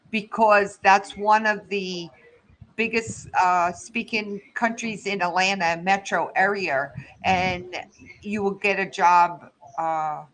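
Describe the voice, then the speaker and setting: Host from new jersey, teasing, storytelling, mid pitch, faint noise behind. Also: fairly bright tone, rough recording. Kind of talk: casual chat.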